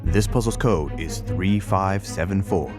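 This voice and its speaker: A man's voice: deep voice, unhurried. A man reading scripted instructions as the narrator of a game. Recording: music behind the voice. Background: music.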